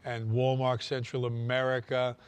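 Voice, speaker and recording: A man, head of a trade association, giving measured interview answers with frequent "uh" pauses and a dry sense of humor. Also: mid-range voice, monotone, clean tone.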